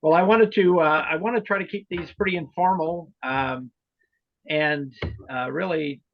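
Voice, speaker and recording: A man's voice: a little high, normal speed. A man leading an informal meeting, explaining things in a hesitant, rambling way. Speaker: meeting leader and trainer of volunteer club officers. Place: online video meeting. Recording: roomy, muffled online meeting audio.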